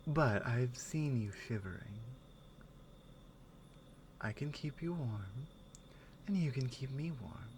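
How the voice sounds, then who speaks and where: A woman voicing a strong, protective Nordic warrior companion in an intimate ASMR roleplay, soft-spoken and partly whispering, tender and teasing. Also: extremely low pitch, slight background noise, pitched below the performer's own voice, full character voice. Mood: teasing, affectionate.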